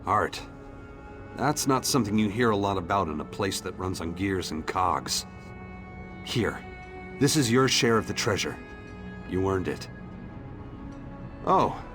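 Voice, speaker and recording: A man's voice: fairly low pitch, expressive, normal speed, pauses often, lightly acted. A man voicing a hopeful, grateful young townsman in video game dialogue, speaking earnestly and with a declamatory turn. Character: hopeful, grateful young townsman defying fate and rallying his people. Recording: background music under the voice.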